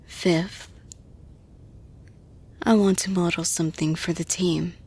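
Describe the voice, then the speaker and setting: A caring female boss played by a woman in an intimate audio roleplay, persuading gently. Her voice is soft, rather low and very breathy, often slipping into a whisper. She speaks very slowly and deliberately, with steady reassurance. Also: full character voice. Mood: calm, earnest.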